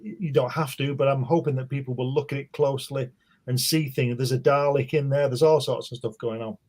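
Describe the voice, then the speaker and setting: A British comic book artist, a man, chatting as a guest on a video call, brisk and jokey. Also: medium pitch, fairly even intonation, darkish tone. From Britain. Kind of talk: casual chat.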